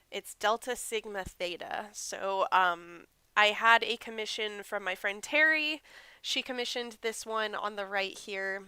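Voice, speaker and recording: A woman's voice: medium pitch, sharp tone, clean tone, no echo. A woman, congested from a cold, chatting casually and rambling a little as she hosts a crochet live stream at home.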